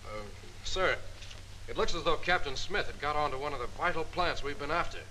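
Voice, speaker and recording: An actor playing a skeptical, probing intelligence officer in a 1940s training film, questioning dryly. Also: male voice, on the high side, fairly quick, pushed and bright, poor audio. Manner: dry, questioning, slightly wry. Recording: 1940s film soundtrack with faint hiss.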